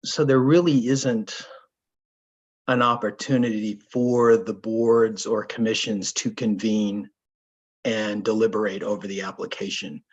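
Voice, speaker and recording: A hoarse man speaking up at a public hearing, raising deliberate, probing questions with some restarts over a video call. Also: on the low side, fairly even intonation. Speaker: resident commenting at public hearing. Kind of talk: remote public meeting comment. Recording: dull lo-fi video call audio.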